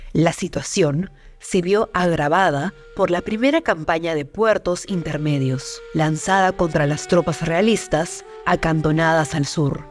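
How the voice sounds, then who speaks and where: A narrator delivering scripted historical documentary narration. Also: mid-range voice.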